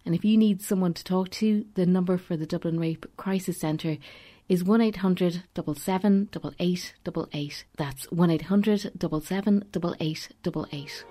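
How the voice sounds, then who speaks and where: A woman presenting on radio, reading clear scripted links between segments. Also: fairly low pitch, moderate pace, mellow.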